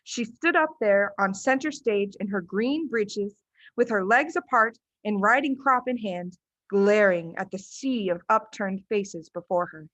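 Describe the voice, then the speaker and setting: A woman's voice: medium pitch, unhurried, a bit bright, no room sound, rough recording. A woman reading a children's book aloud as its narrator, in scripted storytelling style.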